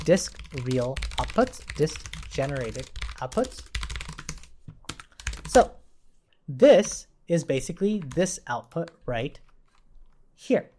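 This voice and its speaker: A man's voice: medium pitch, darkish tone, slight background noise. A man giving a casual coding tutorial as an instructor, informally thinking aloud as he works. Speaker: programming instructor.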